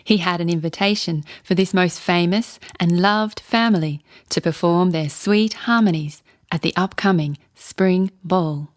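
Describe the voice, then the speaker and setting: A woman narrating a children's bedtime story in an expressive, measured storytelling manner. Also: fairly low pitch.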